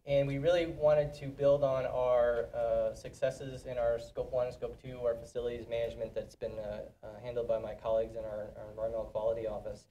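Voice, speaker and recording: A man speaks on a corporate panel in a flat, mellow voice. He sounds set back from the mic, with faint room noise behind him. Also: medium pitch, a little fast, hardly any pauses, recorded from a distance, poor audio. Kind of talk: panel discussion.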